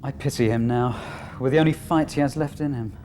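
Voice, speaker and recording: An actor playing a weary male overseer of the village, speaking in a measured, wry and quietly regretful way. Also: medium pitch, a little hiss or hum, close to an everyday voice. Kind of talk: fan audio drama acting.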